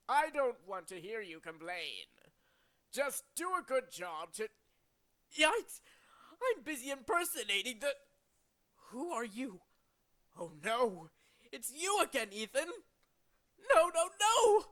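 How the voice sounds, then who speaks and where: A theatrical game villain, a smug and cowardly blusterer. His bright, forceful voice swoops up and down as he boasts and frets in hammy fashion. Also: extremely high pitch, voice pushed up, full character voice. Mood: pompous, then flustered. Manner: hammy, boastful, easily flustered. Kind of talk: video game villain character acting.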